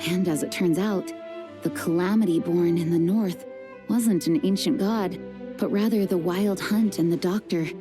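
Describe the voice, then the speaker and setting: An actress playing a composed, dutiful adult woman knight who leads, speaking in a measured, earnest and formal way. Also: on the low side, steady tone, lightly acted. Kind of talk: video game voice acting. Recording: game music under the voice. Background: game music.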